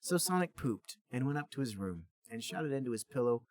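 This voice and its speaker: Male podcast reader: dramatic, mock-serious narration with very lively pitch movement. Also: brisk. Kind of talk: mock-serious comic story reading.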